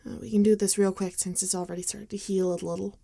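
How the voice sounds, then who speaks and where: A woman playing a gentle, rueful vampire bartender in an intimate ASMR roleplay, soft-spoken and hesitant, often dropping into a whisper. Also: medium pitch, flat delivery, quick pace, creaky. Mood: tender, careful. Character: gentle, rueful female vampire bartender tending a wounded companion.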